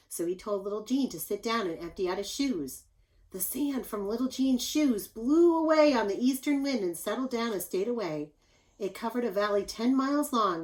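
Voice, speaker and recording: A woman's voice: mid-range voice, poor audio. A woman reading a children's story aloud expressively, like a teacher, with asides to young listeners. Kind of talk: expressive picture-book read-aloud.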